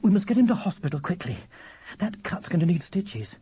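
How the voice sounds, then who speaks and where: A man's voice: high voice, big pitch swings, a little fast, warm tone, slightly rough, dry recording, voice pushed up, heavily acted. A man voicing a worried mother who takes charge in a storybook, urgent and practical.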